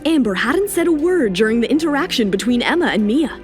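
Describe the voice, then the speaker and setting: A woman's voice: sits high, sing-song, a little fast, darkish tone. A woman reading scripted, dramatic narration for a serialized romance audio story. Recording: music under the voice.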